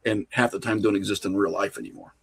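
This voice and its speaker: A man's voice: on the low side, big pitch swings, fast talker, vocal fry, slightly rough. A middle-aged man talking casually as an interview guest, opinionated and rambling as he works through his thoughts. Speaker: podcast guest, horror filmmaker and writer.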